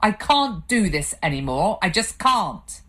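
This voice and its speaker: A woman's voice: low voice, moderate pace. A woman voicing a man, a bitter, drinking husband who has just lost his job, speaking with sullen self-pity. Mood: distraught. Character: bitter drunk husband, recently fired.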